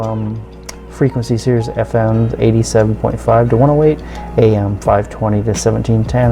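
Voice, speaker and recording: A man casually demonstrating a product, explaining it step by step in a halting, informal way. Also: lowish voice. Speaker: radio reviewer and video host.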